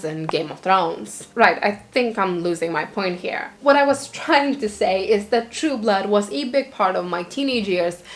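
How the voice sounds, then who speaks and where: A young woman from Lithuania, a native Lithuanian speaker, giving candid, opinionated book reviews in English in a chatty vlog style with the odd filler. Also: mid-range voice. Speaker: book vlogger.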